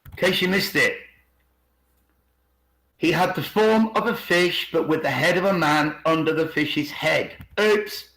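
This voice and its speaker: A man's voice: a little high, measured pace, vocal fry, fairly bright tone. A man giving an informal presentation with commentary over a video call, often asking rhetorical questions and repeating phrases for emphasis. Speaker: video channel host. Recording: echoey video call audio.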